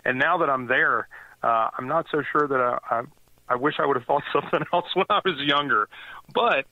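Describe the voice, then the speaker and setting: A man's voice: medium pitch, brisk, no room sound. A man in his forties from the US East Coast, a guest author chatting casually in an interview and rambling with lots of fillers and restarts. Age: 40s. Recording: dry tinny phone-like line.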